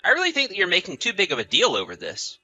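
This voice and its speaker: A man's voice: high voice, wildly swooping pitch, fast talker, pushed and bright, soft background music, full character voice. A man playing an easygoing, sociable husband in chatty, casual audio drama dialogue. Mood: mildly defensive. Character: easygoing husband, sociable, placating, mildly defensive.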